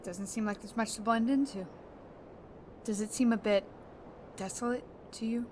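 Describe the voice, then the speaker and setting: A woman's voice: fairly high pitch, fairly even intonation, moderate pace, a little mellow, slight background noise, lightly acted. A woman acting as an injured, stern spaceship captain in an audio drama, guarded and suspicious in her delivery. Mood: uneasy.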